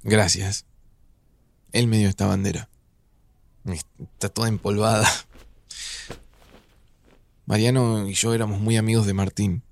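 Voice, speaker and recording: A man playing an older Argentine father in an audio drama, speaking in a gentle, wistful, reflective way. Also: fairly low pitch, fairly even intonation, a little slow, creaky, long pauses.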